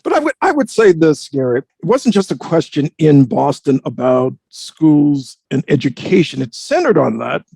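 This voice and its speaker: A man speaking as a panelist, giving reflective commentary in a deliberate, articulate way with formal wording. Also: on the high side, big pitch swings, vocal fry, warm tone, slightly rough, dry recording.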